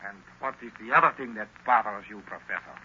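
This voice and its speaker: A man's voice: fairly low pitch, fast, close to an everyday voice. An actor playing a villain's coldly practical, wary henchman, questioning and conspiratorial, in 1930s radio style. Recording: noisy, dull 1930s radio recording.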